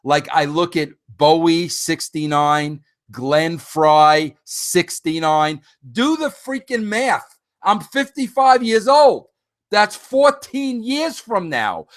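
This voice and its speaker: A fifty-something man hosts his own show, rambling through a casual monologue aimed right at his listeners. His voice sits high for a man, and he often stumbles, repeats himself and restarts before pushing on with lively energy. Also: takes it slowly. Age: 50s. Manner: rambling, animated, frequent restarts and fillers; addresses listeners directly. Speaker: podcast host.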